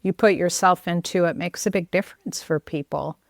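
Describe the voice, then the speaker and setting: A woman's voice: fairly low pitch, fairly quick, creaky, dry recording, studio quality. A woman with an American accent hosting a podcast, speaking conversationally as she interviews a guest. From United States. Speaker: podcast host.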